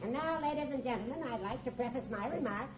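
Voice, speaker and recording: An actor playing a pompous, fussy older judge in radio comedy, with a formal, oratorical manner. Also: male voice, very high-pitched, quick pace. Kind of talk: 1940s radio comedy acting. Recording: muffled, noisy old radio recording.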